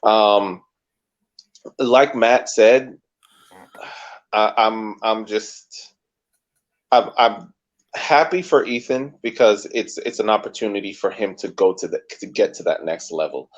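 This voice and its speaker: Man, podcast co-host, halting, repeats words, very deep voice, muffled audio. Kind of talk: casual sports chat.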